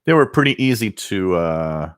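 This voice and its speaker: Low-voiced man chatting. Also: sing-song, moderate pace, no room sound, studio quality. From Quebec. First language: French. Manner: rambling, hesitant with many fillers. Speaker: radio livestream host. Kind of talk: casual live chat reading viewer comments.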